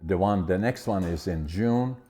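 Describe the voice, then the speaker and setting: An older man from the Netherlands with a Dutch accent, telling his life story in an interview with animated restarts. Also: sits low, measured pace. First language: Dutch dialect. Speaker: guest. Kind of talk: conversational interview.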